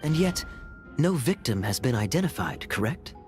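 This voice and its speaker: A man's voice: medium pitch, very animated, moderate pace, soft background music, close to an everyday voice. A man playing a suave, sceptical knight in a video game, questioning in a pointed, sardonic way. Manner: smooth-talking, pointed, sardonic.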